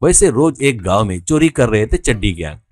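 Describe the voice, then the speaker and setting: A man's voice: fairly low pitch, very animated, normal speed, a little mellow, no room sound. A man narrating a scripted story as a storyteller. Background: music.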